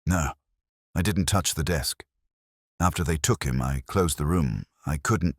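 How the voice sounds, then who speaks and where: A composed, grieving widow in a mystery audiobook, voiced by a male narrator, speaking with quiet restraint. The voice is very low and husky, in a dry close recording. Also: unhurried, dry recording, full character voice. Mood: guarded, subdued. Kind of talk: audiobook character dialogue.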